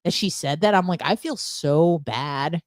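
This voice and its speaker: A woman with a slightly low voice talks casually as a radio co-host. She is opinionated and blunt, gossips freely and peppers it with profanity. The sound is dry, with very little room. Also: measured pace, no echo.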